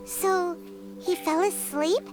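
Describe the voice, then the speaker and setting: Childlike game fairy, squeaky, excitable, very high-pitched, loud music behind. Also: female voice, slow. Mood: curious, concerned. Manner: exclamatory, refers to herself by name. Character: tiny chirpy childlike floating fairy companion, excitable. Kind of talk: anime video game voice acting.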